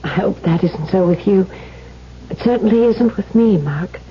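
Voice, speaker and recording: An actress playing a gentle, devoted, slightly anxious aristocratic wife in a 1970s radio drama, refined and earnest. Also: female voice, medium pitch, animated, moderate pace, lightly acted. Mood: anxious, confiding. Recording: dull vintage radio broadcast, faint hum.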